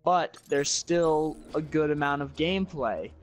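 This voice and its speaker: Blunt man with a slightly high voice. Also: a little slow. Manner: blunt, opinionated. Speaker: guest giving opinions on video games. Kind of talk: casual opinion talk.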